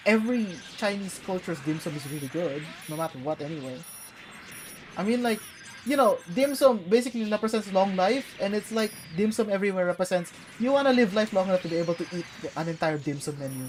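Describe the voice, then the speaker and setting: A woman's voice: mid-range voice, expressive, fast talker, a little hiss or hum, rough recording. A young woman from the Philippines chatting casually while playing a game, rambling with fillers and casual swearing. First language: English. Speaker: gameplay streamer. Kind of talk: casual gaming chat.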